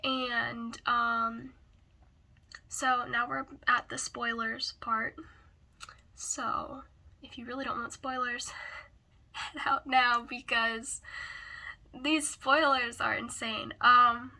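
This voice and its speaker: A woman who is hoarse and raspy from a cold gives a casual book review, often hesitantly searching for words. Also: on the high side, flat delivery, takes it slowly, long pauses, sharp tone.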